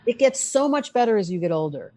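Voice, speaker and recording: An older woman astrologer talking bluntly in a casual conversation, animated and acting out the voices of the people she quotes. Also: a little high, quick pace. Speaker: astrologer guest.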